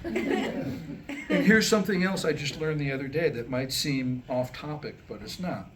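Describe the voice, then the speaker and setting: A man's voice: on the high side, expressive, a little slow, slight background noise. A man giving a staged reading of his own script, mixing comic monologue with history storytelling in a wry, rhetorical way. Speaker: writer reading a comedic script aloud. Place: indoor staged reading with a few listeners reacting.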